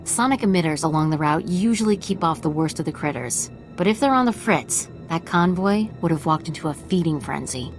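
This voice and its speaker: A principled army officer in a game drama. Her voice is on the low side for a female, direct and businesslike. Quiet music plays underneath. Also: expressive, a little fast, hardly any pauses. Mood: brisk, concerned. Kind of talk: dramatic video game acting.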